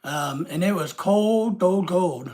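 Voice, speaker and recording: A man's voice: high voice, lively intonation, takes it slowly, a little mellow. A man hosting a casual live stream with a head cold, rambling and reading out viewer comments.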